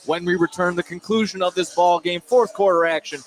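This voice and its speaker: A man calling live high school basketball play-by-play on the radio as the game's announcer. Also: steady tone, fairly quick. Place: indoor school gymnasium during a game. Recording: noisy gym, loud background noise.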